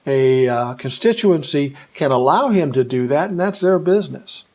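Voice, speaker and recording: An American man with a voice pitched a little above average delivers political commentary as a solo monologue. His phrasing is blunt and colloquial, with emphatic points. He often sets up rhetorical questions and then answers them himself. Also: animated, normal speed, a little mellow. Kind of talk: opinionated political monologue to an audience.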